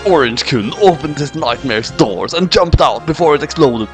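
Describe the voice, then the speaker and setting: A man's voice: fairly high pitch, lively intonation, moderate pace. A man doing a dramatic narration of an amateur action story. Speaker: narrator reading a fan fiction aloud. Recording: music playing behind the voice. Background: music.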